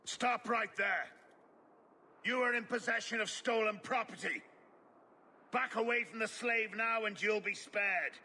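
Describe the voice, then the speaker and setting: An actor playing a hostile, commanding slave hunter in a video game, calling out official-sounding threats. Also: male voice, high-pitched, a little slow, pauses often, bright, forceful tone, heavily acted. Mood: threatening.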